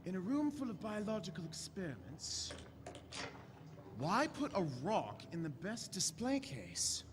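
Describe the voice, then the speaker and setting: A man playing an eccentric, inquisitive time-traveling doctor in a staged audio drama, chatty and brisk with theatrical swings. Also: high-pitched, huge pitch swings, heavily acted. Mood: brisk, curious. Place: large convention hall stage. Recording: echoey hall stage recording with noise.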